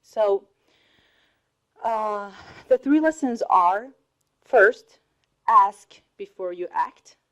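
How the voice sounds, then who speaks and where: A woman in her thirties whose first language is Arabic speaks slowly and deliberately to a college audience. Her pitch sits at a middle level, and she laughs now and then between points. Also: animated, fairly bright tone, lo-fi sound. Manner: slow, deliberate, laughs often. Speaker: student speaker. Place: college hall.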